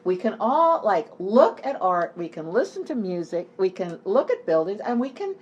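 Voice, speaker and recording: A woman's voice: mid-range voice, very animated, moderate pace, a little mellow, muffled recording, slight background noise. An older woman speaking off the cuff as an author at a book talk, informal and digressive, stressing and repeating her words. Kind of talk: unscripted conversational book talk.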